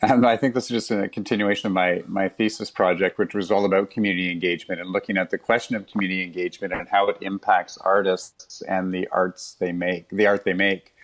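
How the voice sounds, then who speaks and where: A man being interviewed as a theatre director, explaining in a measured, reflective way. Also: sits low.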